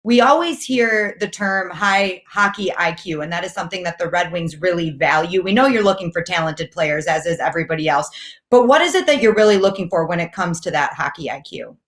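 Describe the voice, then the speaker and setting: A woman with a fairly low voice asks a guest an interview question. She speaks clearly and at a measured pace. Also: animated, a bit bright. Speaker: show co-host.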